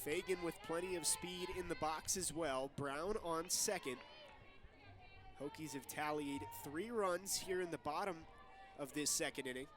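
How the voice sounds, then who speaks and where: A young man doing TV play-by-play commentary of a softball game. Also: a little high, measured pace. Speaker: play-by-play announcer.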